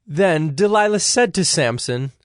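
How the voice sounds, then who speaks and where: A man narrating dramatized scripture in a measured storytelling manner. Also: a little high, expressive, clear, resonant tone, dry recording. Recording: dry studio with music underneath. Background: music.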